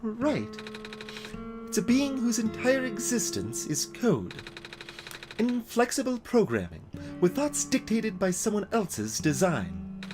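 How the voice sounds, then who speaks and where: A let's player voicing a weary, grave robot guide from a video game, explaining things in a measured way. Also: medium pitch, huge pitch swings. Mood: grave, resigned. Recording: music behind the voice.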